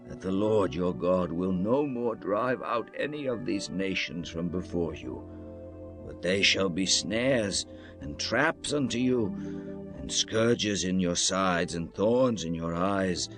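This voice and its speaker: A man's voice: fairly low pitch, heavily acted. An actor playing an old, solemn Hebrew leader exhorting his people in a grand, declamatory scripture dramatization. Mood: stern exhorting. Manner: solemn, declamatory, emphatic swells and hushed drops. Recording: music under the voice throughout.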